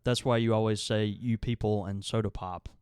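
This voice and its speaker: Laid-back southern guy on a podcast, kinda low voice, dry close mic. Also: fairly even intonation, normal speed, darkish tone, smooth, no echo. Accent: American Southern. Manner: easygoing, laughs often. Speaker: podcast co-host and tobacco shop owner. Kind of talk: casual chat.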